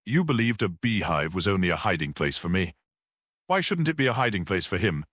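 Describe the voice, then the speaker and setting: A man voicing a courteous, self-assured detective in an audiobook story, speaking in a formal, measured and dry way. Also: deep voice, big pitch swings, a bit bright, no room sound, exaggerated voice. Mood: composed, explanatory.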